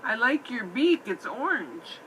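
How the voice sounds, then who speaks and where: A woman's voice: fairly high pitch, big pitch swings, measured pace, fairly bright tone, dull audio, slight background noise. A woman chatting simply and encouragingly as a mother in an improvised puppet show, recorded on a tablet at home. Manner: encouraging, asks many simple questions. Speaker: mother playing puppets with her son. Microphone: iPad microphone.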